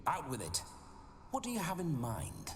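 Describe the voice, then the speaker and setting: Boisterous rowdy ghost. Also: male voice, a little high, huge pitch swings, normal speed. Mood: jovial. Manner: jovial, boastful and theatrical. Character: boisterous ghost of an old nobleman brawler. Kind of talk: video game cutscene acting. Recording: game audio with reverb and music.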